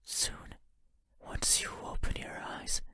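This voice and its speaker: A man voicing a lonely, yearning monster for a horror game, in a breathy whisper with a halting, intimate manner. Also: natural voice. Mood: wistful, hesitant. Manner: halting, intimate, musing, formal phrasing. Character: lonely wordless monster lurking in the dark, yearning, eerie. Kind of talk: video game horror monologue.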